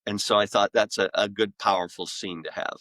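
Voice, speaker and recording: A middle-aged man chatting as an interview guest, enthusiastic and emphatic, pausing and repeating words for effect. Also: lowish voice, fairly even intonation, fairly bright tone, no echo. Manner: enthusiastic, emphatic storyteller with dramatic pauses and repetition. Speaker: author and voice-acting school director, podcast guest. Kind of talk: casual, animated interview chat.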